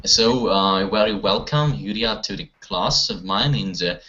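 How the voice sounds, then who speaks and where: A lower-pitched man runs an English class over a dull, lo-fi call line. He questions his students and patiently corrects their grammar as they go. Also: measured pace, sharp tone. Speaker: English teacher. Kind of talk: online class conversation. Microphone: video call. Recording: lo-fi online call audio.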